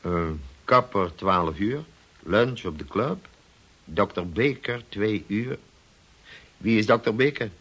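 An actor playing a formal, authoritative police colonel in a radio play, questioning in a measured, stern way. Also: male voice, on the low side, expressive, a bit bright, slightly rough, heavily acted. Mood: formal, probing. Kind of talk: Dutch radio drama acting.

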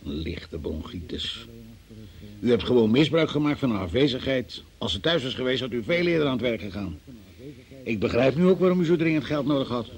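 An actor playing a stern magistrate in a radio drama, questioning a suspect in an insistent, patronising and sceptical manner. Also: male voice, mid-range voice, expressive, brisk, natural voice. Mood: accusing.

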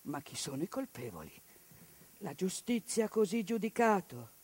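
A woman's voice: fairly low pitch, very animated, moderate pace, a little mellow, slightly rough, dry recording. Woman in a bitterly ironic stage monologue. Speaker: stage performer. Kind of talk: theatre monologue on radio.

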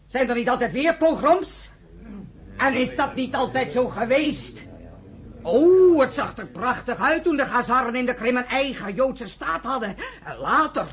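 An actress playing a fervent, embittered pioneer rallying a crowd in a radio play, declaiming with rhetorical questions. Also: female voice, on the high side, a bit bright, breathy edge, exaggerated voice. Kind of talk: impassioned radio play oratory.

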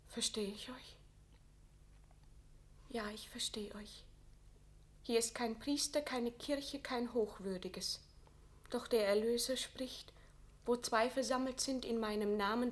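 A woman playing a proud, devout captive queen in a classical verse radio tragedy, with solemn declamation that can sink to a breathy whisper. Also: fairly high pitch. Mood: hushed reverence.

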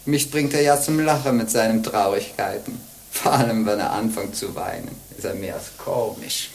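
A woman's voice: rumbling low, moderate pace, creaky, a little mellow, a little hiss or hum. An actress in a German radio play playing a sly old witch go-between, coaxing and chuckling in a theatrical way. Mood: mocking, amused. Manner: wheedling, chuckling, theatrical swings.

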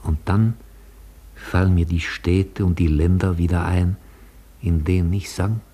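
An actor playing a gentle, nostalgic old retired opera tenor in a German radio play, courteous and halting. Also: male voice, low-pitched, fairly even intonation, slightly rough. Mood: dreamy. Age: older.

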